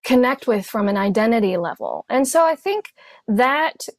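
Woman, native Cantonese, from Hong Kong, therapist guest, measured, listing ideas, dry sound. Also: fairly high pitch, big pitch swings, no room sound. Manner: thoughtful, measured, speaks in lists. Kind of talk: reflective personal storytelling.

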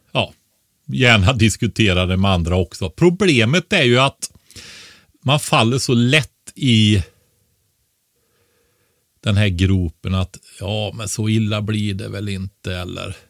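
Male expert podcast co-host, low-pitched, dry sound. Casual explainer who checks in with little question tags. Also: fairly bright tone, dry recording. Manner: explanatory, often ending points with a questioning tag. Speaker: expert podcast co-host giving preparedness advice. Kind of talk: casual conversational advice.

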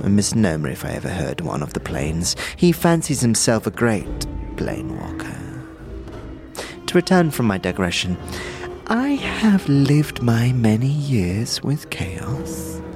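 Hushed, swooping, flowery old tippler. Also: male voice, fairly low pitch, full character voice. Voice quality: soft, breathy, hushed. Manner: flowery, halting, pompous speech. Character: short rotund older male tavern drinker, humble, poetical, chaos-loving. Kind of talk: audio drama acting.

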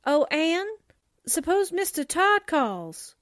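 A woman's voice: extremely high pitch, very animated, takes it slowly, fairly bright tone, no echo, clean studio sound, exaggerated voice. A woman playing a plucky, suspicious young newspaper secretary in a theatrical 1940s radio drama style. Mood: sure, conspiratorial.

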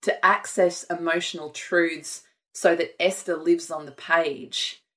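A woman's voice: on the low side, fairly even intonation, slow, fairly bright tone. A woman author talking thoughtfully and earnestly about her writing in a conversation. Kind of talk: reflective conversation.

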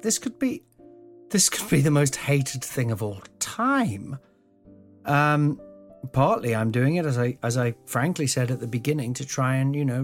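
A slightly croaky man hosts his own podcast, wandering from thought to thought in a chatty, self-mocking ramble with the odd swear word. He records at home in an old house on a mic with no pop shield, and faint music sits low in the background. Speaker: podcast host. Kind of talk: rambling solo podcast monologue. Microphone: home podcast mic without a pop shield.

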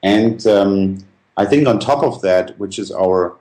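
Deep voice guy answering interview questions, earnest, bit hesitant, explains stuff. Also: measured pace, mellow. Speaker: company founder being interviewed.

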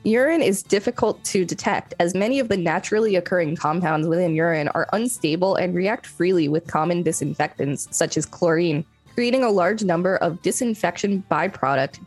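A woman's voice: lowish voice, brisk, few pauses, darkish tone, faint music behind. A woman co-hosting a podcast, moving between casual chat and reading an article aloud. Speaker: podcast co-host.

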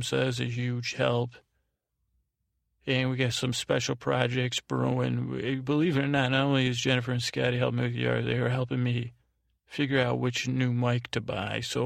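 Gravelly male podcast host, rambling along. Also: medium pitch, no room sound, studio quality. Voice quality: creaky, gravelly. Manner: halting, meandering, repeats and restarts phrases. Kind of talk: rambling casual monologue with thank-yous.

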